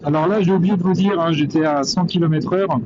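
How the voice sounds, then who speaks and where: A man's voice: fairly high pitch, fairly even intonation, brisk. A man giving a casual, hesitant commentary while riding a motorcycle, thinking aloud into a helmet intercom mic. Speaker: motovlogger reviewing gear. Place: on a motorcycle on the road. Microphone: intercom boom mic inside helmet. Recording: muffled helmet intercom mic, loud wind noise. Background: wind and vehicle noise.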